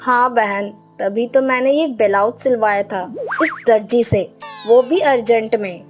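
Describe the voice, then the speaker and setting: A woman's voice: fairly high pitch, measured pace, faint music behind, close to an everyday voice. A woman voicing Kirti, a friendly and gossipy young wife, in a cartoon story. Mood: chatty. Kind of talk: cartoon voice acting.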